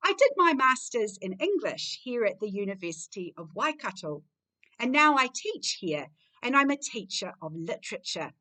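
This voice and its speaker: A woman hosting a formal event, speaking in articulate, emphatic, measured phrases. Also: a little high, big pitch swings, bright, forceful tone. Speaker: host, university lecturer.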